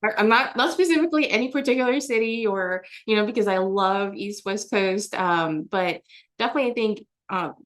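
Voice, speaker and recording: A young woman talks easily as an interview guest, filling her sentences with "you know" and quick restarts. Her voice sits at an ordinary middle pitch, relaxed and conversational. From Germany. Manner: chatty, frequent fillers and restarts, laughs often. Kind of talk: casual interview answers.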